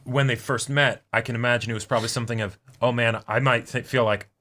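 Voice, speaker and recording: A man's voice: mid-range voice, fairly even intonation, fast talker, sharp tone. A man co-hosting a reaction show in casual, colloquial discussion, repeating words as he reasons things out. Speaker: co-host of an anime reaction show.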